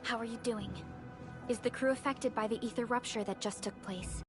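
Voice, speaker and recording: Woman acting composed, higher-voiced female leader. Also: fairly even intonation, a little fast, natural voice. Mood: concerned, composed. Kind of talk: video game voice acting. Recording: music behind the voice.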